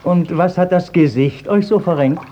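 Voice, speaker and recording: A sly court clerk, acted by a man in an old radio play, needling with mock concern. The voice is fairly high and mellow, with pointed theatrical diction, on a dull vintage recording. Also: moderate pace, slight background noise, natural voice. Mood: needling relish. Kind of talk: 1950s German radio play acting of classic verse.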